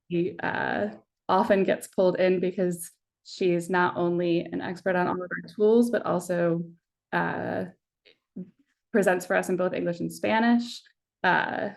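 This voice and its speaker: Woman, webinar host on a video call, low pitch, creaky edge, casual with fillers. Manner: friendly, many "uh" fillers. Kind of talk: casual online meeting hosting.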